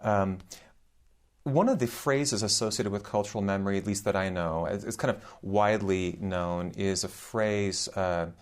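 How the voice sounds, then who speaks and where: A man hosts a university radio interview, asking questions in a polite, hesitant way with many ums and uhs. His voice is somewhat low. He speaks like a professor introducing and questioning a guest. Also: moderate pace, smooth. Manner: hesitant, many "uh" and "um" fillers and restarts. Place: radio studio.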